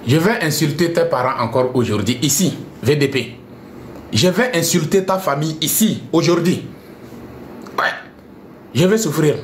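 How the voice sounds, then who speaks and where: A man's voice: on the high side, animated, normal speed, pauses often, echoey room, slight background noise. A man ranting scornfully on a live stream, repeating himself and mixing French with a local language. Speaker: live streamer addressing viewers. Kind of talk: heated live-stream rant.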